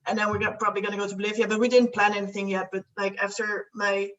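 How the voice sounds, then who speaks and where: A woman's voice: mid-range voice, level tone, racing, a bit bright, recorded from a distance, dull audio. Rambling young Dutch woman. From Netherlands. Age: young adult. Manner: rambling, many fillers and restarts, laughs often. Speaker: guest. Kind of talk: casual chat.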